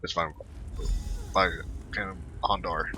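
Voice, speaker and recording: A man's voice: deep voice, steady tone, a little slow, bright, forceful tone, slight background noise. A man in a casual game playthrough, reading dialogue aloud and commenting as he plays. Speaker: gaming video creator. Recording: game music behind the voice. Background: video game music.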